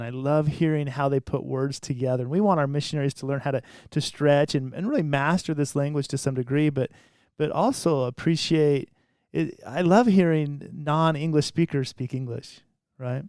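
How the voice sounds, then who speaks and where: A man, a podcast co-host and former mission president, chatting in a warm, reflective, fatherly way and asking questions. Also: fairly high pitch, moderate pace, no echo, studio quality. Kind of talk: conversational interview.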